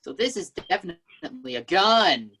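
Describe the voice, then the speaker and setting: A woman's voice: lowish voice, very animated, moderate pace, fairly bright tone, slightly rough, exaggerated voice. A woman reading a naive, easily alarmed young man in a sitcom table read. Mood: worried.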